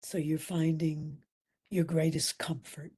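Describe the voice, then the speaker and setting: A woman leading a guided meditation as instructor, soft and breathy, soothing and gentle, leaving long pauses between phrases. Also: sits low, level tone. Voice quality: soft, breathy, at times near whisper.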